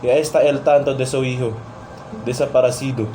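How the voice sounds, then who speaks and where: Man acting a dark-voiced, bossy, angry husband. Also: medium pitch, steady tone, fairly quick, slight background noise, lightly acted. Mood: stern. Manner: barking curt orders. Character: angry, foul-mouthed, bossy Spanish-speaking husband of authority. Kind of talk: amateur radio play acting.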